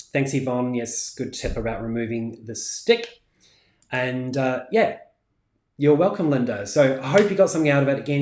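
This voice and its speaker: A man's voice: mid-range voice, a little mellow. Chatty New Zealand man in an echoey craft room. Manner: chatty, many ums, laughs easily. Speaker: craft livestream host. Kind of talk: casual live chat reading comments. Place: home craft room, indoors. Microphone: fixed camera at desk distance.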